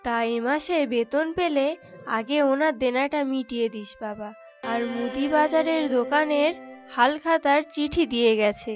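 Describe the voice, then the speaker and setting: Woman as nagging cartoon mother, high-pitched, wheedling, complaining, dull recording, music behind. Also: moderate pace, natural voice. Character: nagging, needy Bengali mother of grown son. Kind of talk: cartoon voice acting.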